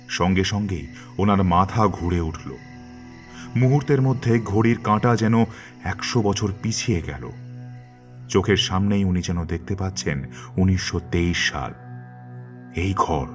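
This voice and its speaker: A man with a very deep voice tells the story in a measured, dramatic way. Clear music plays behind the narration.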